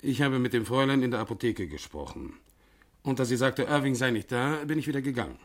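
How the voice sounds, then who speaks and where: Male actor, middle-pitched, defensive suspected husband, stiff formal phrasing, 1960s radio crime drama. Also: animated, quick pace, lightly acted. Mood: guarded, troubled. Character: guarded, troubled husband under suspicion.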